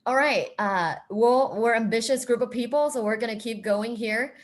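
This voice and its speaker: A woman's voice: a little high, moderate pace. Encouraging woman hosting an online group. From Thailand. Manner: encouraging, affirming. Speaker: host of an online group activity. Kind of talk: facilitating a group video chat. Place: online video meeting.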